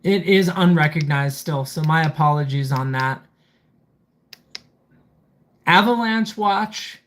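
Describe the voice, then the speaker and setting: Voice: medium pitch, takes it slowly. A reviewer hosting a casual live product walkthrough, methodically reading items out and commenting on them. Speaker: livestream host reviewing gadgets.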